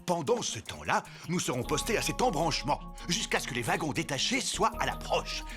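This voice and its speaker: A cackling cartoon villain boss. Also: male voice, fairly high pitch, big pitch swings, fairly quick, over music. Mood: scheming. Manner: cackling, theatrical. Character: scheming male villain leader, gleeful and theatrical. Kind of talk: French cartoon dub.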